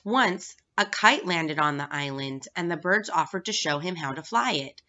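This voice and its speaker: A woman's voice: sits low, expressive, moderate pace, sharp tone. A woman reading a children's story aloud as scripted narration. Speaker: staff reader at a school. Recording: dull, lo-fi recording.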